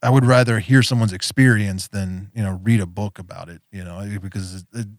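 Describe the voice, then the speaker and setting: A man's voice: fairly low pitch, fairly even intonation, brisk, no room sound, studio quality. A man hosting a podcast in casual chat, rambling with lots of fillers and easy laughs. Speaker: podcast host.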